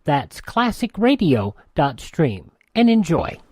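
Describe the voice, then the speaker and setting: A man's voice: fairly high pitch, wildly swooping pitch, slow, a little mellow, husky. A man hosting a radio show, reading sponsor spots and announcements in a chatty, folksy way. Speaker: radio host.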